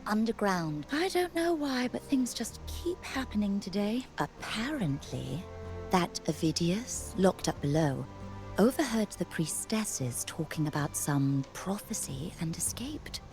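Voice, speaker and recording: Game side role: young talkative priestess, very animated, expressive, music underneath. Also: female voice, medium pitch, a little slow. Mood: intrigued, gossipy. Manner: expressive, chatty. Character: chatty, gossipy young novice priestess. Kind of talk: video game voice acting.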